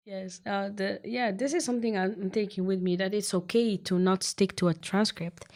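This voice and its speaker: A woman's voice: mid-range voice, fairly even intonation, normal speed, darkish tone. A woman from the Netherlands, a youth activist giving interview answers. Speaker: youth activist, podcast co-host.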